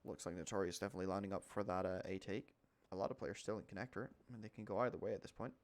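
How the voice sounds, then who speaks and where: Excitable man casting a shooter game. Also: racing. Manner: rises in pitch and volume during fights, calm between rounds. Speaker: esports caster. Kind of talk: live play-by-play commentary. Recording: game gunfire audible behind.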